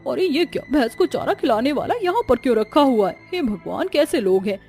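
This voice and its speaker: A woman's voice: sits high, lively intonation, brisk, a little mellow, soft background music. A woman voicing a feisty, naive poor village daughter-in-law in a cartoon story, plain-spoken and protesting. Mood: baffled, protesting.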